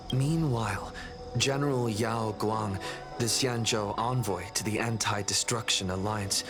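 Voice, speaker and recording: A young hero recounts a game saga in a low, solemn voice. The delivery is slow and measured, with clear background music underneath. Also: male voice. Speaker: story narrator. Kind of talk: scripted game story recap narration.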